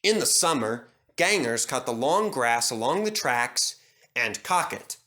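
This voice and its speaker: A man narrating a children's train storybook in an animated storytelling manner. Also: medium pitch, a little slow.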